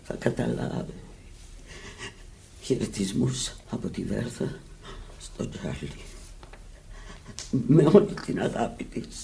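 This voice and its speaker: An actress playing a gravely ill, stubborn, desperate woman in a radio play, halting and repeating her words. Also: very deep voice, sing-song, dark tone, far from the mic, full character voice. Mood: feeble, longing. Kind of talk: dramatic Greek radio play acting. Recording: echoey, noisy old radio drama recording.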